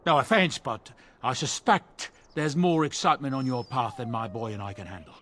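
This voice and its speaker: A man's voice: medium pitch, moderate pace, a bit bright, close to an everyday voice. An actor playing a jovial, talkative dwarf merchant with folksy salesman patter in a video game. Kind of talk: video game cutscene dialogue.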